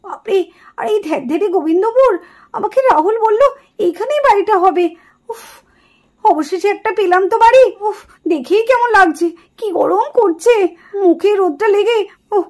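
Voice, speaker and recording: Woman voicing a snobbish, high-voiced city girl doll. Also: expressive, moderate pace, a little mellow. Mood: whiny, exasperated. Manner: whiny, haughty. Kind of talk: puppet doll drama voice acting.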